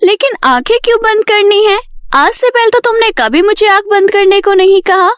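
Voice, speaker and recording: A woman's voice: extremely high pitch, moderate pace, dry recording, clean studio sound, pitched above the performer's own voice. A woman playing a timid, gloomy young daughter in a story, with a plaintive, exclaiming manner. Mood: puzzled.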